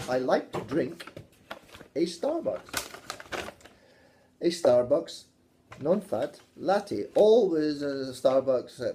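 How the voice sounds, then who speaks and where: Playful Scottish man with a mellow voice. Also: mid-range voice, a little hiss or hum. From Scotland. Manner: playful, teasing, jokey asides. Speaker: English teacher. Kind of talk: casual video lesson.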